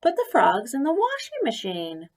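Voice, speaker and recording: Woman as eager child calling out, raised pitch. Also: huge pitch swings, normal speed, a little mellow. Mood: eager, urgent. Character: eager neighborhood children calling out simple advice. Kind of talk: picture-book character read aloud.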